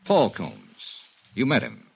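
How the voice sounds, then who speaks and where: An actor playing a cool, persistent insurance investigator in a 1950s radio detective drama, asking probing questions in a polite, clipped way. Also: male voice, medium pitch, huge pitch swings, slow, gravelly, slightly rough, muffled recording, heavily acted. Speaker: lead actor and narrator.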